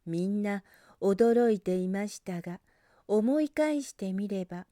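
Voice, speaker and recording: Mellow, unhurried woman reading a storybook. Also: medium pitch, animated, no echo, clean studio sound. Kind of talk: gentle children's picture-book read-aloud narration. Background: background music.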